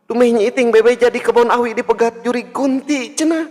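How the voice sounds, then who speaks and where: A man's voice: very high-pitched, a little fast, darkish tone, pitched above the performer's own voice. A man with a Sundanese accent voicing an inquisitive, concerned village mother in a folk tale, in an exclaiming, questioning manner. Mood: curious, concerned. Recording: long hall reverb with music.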